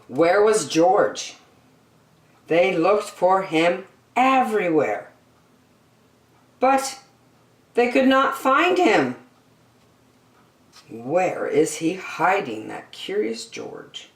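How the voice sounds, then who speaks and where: Animated, unhurried woman reading in an echoey room. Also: lowish voice. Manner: simple, deliberate, expressive phrasing for young listeners. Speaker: children's story-time host. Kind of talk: reading a children's picture book aloud. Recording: echoey room, distant microphone.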